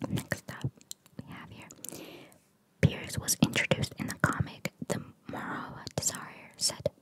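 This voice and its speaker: Whispering woman, ASMR host. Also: a little slow. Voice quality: breathy, unvoiced whisper. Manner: casual, chatty, playfully opinionated. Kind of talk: whispered ASMR reading of trivia with casual asides.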